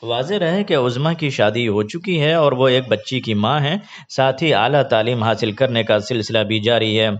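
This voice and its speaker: A man reads scripted news in a formal, measured announcer manner. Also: medium pitch. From India. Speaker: news presenter. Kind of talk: scripted news reading in formal Urdu register. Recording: dull lo-fi online video audio.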